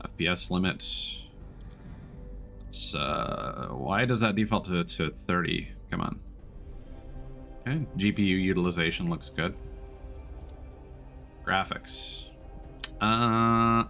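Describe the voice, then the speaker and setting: A man with a low voice talks casually to his live-stream audience while playing a game. His delivery is conversational and unscripted, and music plays softly in the background. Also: slow, pauses often. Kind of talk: casual live-stream chat with gameplay commentary.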